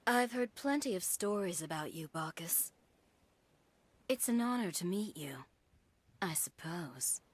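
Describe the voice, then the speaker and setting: A woman's voice: lowish voice, lively intonation, measured pace, long pauses. An actress playing a cool, aloof warrior woman in a video game, curt and dryly condescending. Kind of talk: video game cutscene acting.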